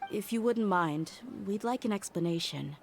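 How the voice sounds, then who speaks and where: A woman's voice: mid-range voice, lively intonation, moderate pace, slight background noise, natural voice. A woman playing a proud, loyal young mercenary bodyguard in a video game, speaking bluntly and earnestly. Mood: respectful.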